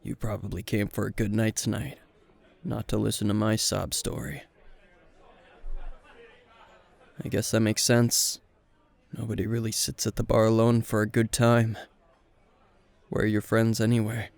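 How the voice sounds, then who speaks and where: Guy doing a tired gruff hero teacher, low gravelly voice, guarded and dry, intimate asmr roleplay. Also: little pitch movement, normal speed, darkish tone. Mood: apologetic, subdued. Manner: terse, guarded, dry, sighing. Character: weary, gruff male veteran hero-teacher drinking at a bar.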